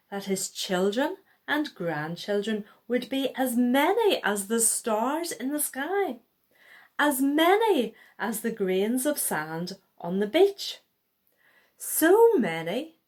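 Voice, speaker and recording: A woman's voice: a little high, slow. Woman reading a children's story, very expressively. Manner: expressive, acting out dialogue. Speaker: children's Bible story reader. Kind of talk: read-aloud children's story.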